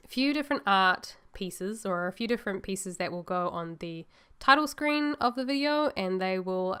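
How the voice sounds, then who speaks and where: A woman's voice: medium pitch, fairly bright tone, clear, resonant tone. A woman chatting casually to viewers in a voice-over, nasal and a little sore-throated from a cold, rambling and upbeat. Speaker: illustrator vlogger.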